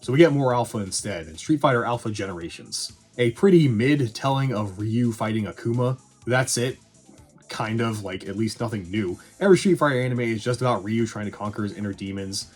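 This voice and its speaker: A man with a husky voice giving casual, scripted movie review commentary in a sarcastic, irreverent, sweary manner. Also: on the low side, expressive, fairly quick, a little mellow, soft background music. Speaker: video reviewer.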